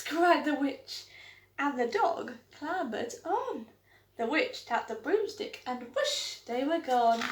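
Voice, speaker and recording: A woman reading a rhyming picture book aloud for children with an animated, sing-song delivery. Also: sits high. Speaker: storytime reader. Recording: echoey room.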